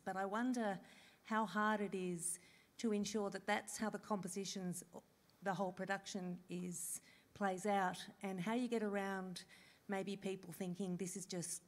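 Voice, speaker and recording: A woman in the audience asking a thoughtful question into a handheld microphone. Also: mid-range voice. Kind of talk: question from the floor. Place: concert hall audience. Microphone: handheld roving mic.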